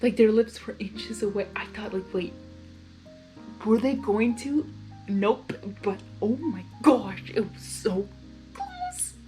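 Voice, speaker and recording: A woman reading fan fiction aloud in an informal, excitable way, with casual reaction asides between the narration. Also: a little high, takes it slowly, soft background music, low-quality recording.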